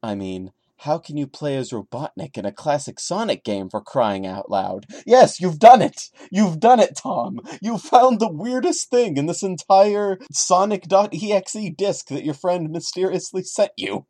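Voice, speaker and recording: A man's voice: sits high, animated, a little slow, hardly any pauses, dark tone, no echo, studio quality. A man hosting a podcast, giving a dramatic story reading broken up by sarcastic, mocking commentary. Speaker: podcast host.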